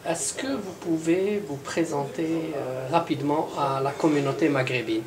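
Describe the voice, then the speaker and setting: A man's voice: on the high side, moderate pace. A man interviewing a candidate, asking his questions hesitantly with many fillers. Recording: echoey room with crowd behind.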